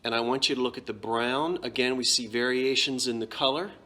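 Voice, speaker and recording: A man's voice: medium pitch, brisk, sharp tone, faint music behind. A man with a New Jersey accent, casually showing and describing antique dishes in a chatty, self-correcting way. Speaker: antiques seller. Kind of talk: casual show-and-tell vlog.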